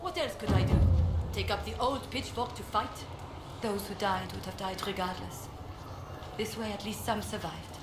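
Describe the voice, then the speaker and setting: An actress playing a weary, defensive village leader in a video game, earnest and self-justifying. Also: female voice, mid-range voice, moderate pace, reverberant, loud music behind the voice, lightly acted. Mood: defensive, regretful.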